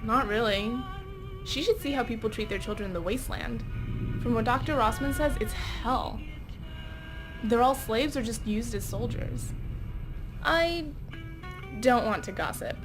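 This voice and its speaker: A woman's voice: a little high, unhurried, pauses often, over music, natural voice, rough recording. A woman playing a guarded young vault girl in video game dialogue, conversational and confiding. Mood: wary, confiding. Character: guarded young vault girl curious about her family.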